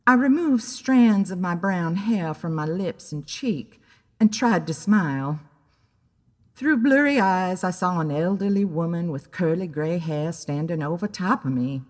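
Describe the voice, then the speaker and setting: A woman's voice: lowish voice, darkish tone. A woman narrating a scripted story in a dramatic storytelling style. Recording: long hall-like reverb.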